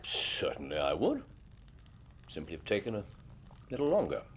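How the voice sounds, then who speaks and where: An actor playing a brilliant, urbane English detective in a period radio drama, speaking precisely and theatrically. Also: male voice, low-pitched, wildly swooping pitch, moderate pace, a little hiss or hum, heavily acted. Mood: confident, analytical.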